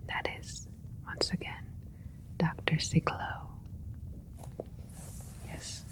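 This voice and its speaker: A woman voicing a calm alien scientist doctor in a soft, measured whisper for an ASMR sci-fi roleplay. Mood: gentle, welcoming. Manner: slow, measured, clinical, deliberate. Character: calm, clinical alien scientist doctor creating a plant hybrid.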